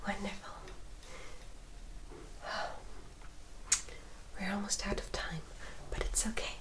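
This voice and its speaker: A gentle elder vampire sister of high society, acted by a woman for an ASMR roleplay. She mostly whispers, with very unhurried delivery. Her wording is formal and her manner is tender and soothing, as if caring for a younger sibling. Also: little pitch movement. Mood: tender, admiring. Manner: slow, soft, formal and soothing, addressing listener directly.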